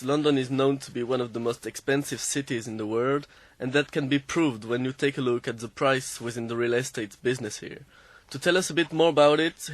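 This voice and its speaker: A man's voice: mid-range voice, no echo. A man with French as his first language, co-presenting a student radio programme and asking scripted questions.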